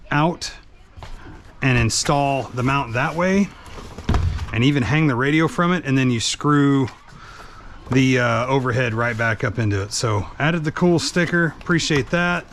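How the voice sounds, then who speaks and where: A man giving an informal, hands-on how-to, talking through the task as he works. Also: mid-range voice. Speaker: vlogger, truck driver. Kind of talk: casual hands-on how-to narration. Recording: background noise while working.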